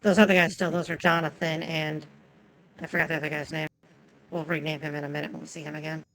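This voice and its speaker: A woman's voice: low-pitched, level tone, fast, fairly bright tone. A woman whose first language is Japanese, chatting casually and profanely with viewers while streaming a game.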